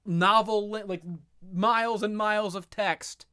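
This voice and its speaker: A man giving casual, rambling, opinionated video game commentary with sarcastic, profane ranting and a halting, repetitive delivery. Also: high-pitched, steady tone, sharp tone, clean tone, dry recording, clean studio sound. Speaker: video game reviewer and host.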